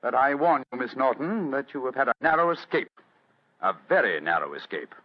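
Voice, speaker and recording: A man's voice: medium pitch, lively intonation, fairly quick, lightly acted. Man acting a theatrical, urbane detective. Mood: stern. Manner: theatrical, precise, courtly. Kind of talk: old-time radio drama acting. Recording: dull vintage radio drama recording.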